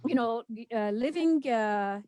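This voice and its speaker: A woman's voice: fairly high pitch, expressive, slow pace, a little mellow, dry recording, clean studio sound. A young woman from Saudi Arabia, a dancer and guest, answering questions on a video call, hesitant and full of fillers. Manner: hesitant, many fillers, says she is nervous. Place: indoor home room. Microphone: video call webcam.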